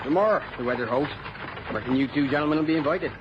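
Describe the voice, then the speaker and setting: An actor playing a plain-spoken, guarded country trap driver with a rural English accent, in British radio drama style. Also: male voice, on the high side, fast talker, natural voice. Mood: chatty. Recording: dull old radio recording, clear noise.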